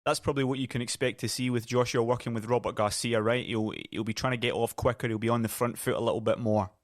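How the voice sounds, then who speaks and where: A man's voice: medium pitch, fairly even intonation, quick pace, no room sound. A man hosting a podcast in a small studio, asking friendly questions and affirming the guest's answers. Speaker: podcast host. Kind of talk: casual interview. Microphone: headphones and studio mic.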